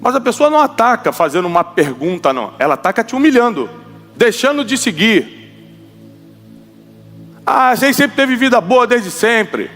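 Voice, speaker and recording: A man's voice: very high voice, sing-song, moderate pace, long pauses, fairly bright tone, long reverb tail, soft background music. A man, preaching an emphatic sermon and having the audience repeat lines. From Rio de Janeiro, Brazil.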